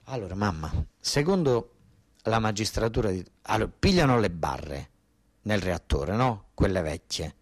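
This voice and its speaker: A man's voice: fairly low pitch, very animated, normal speed. Southern Italian man, insistent theatrical storyteller. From Basilicata, southern Italy. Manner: colloquial, insistent, repeating phrases for emphasis. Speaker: actor-storyteller. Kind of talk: narrative theatre monologue.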